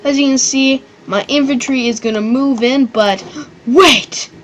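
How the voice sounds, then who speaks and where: A woman hosting her own channel, giving loose, excitable commentary on a strategy game battle, rambling and swearing now and then. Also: high-pitched, measured pace, a little hiss or hum. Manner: rambling and excitable, swears, does silly voices. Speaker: gaming channel host.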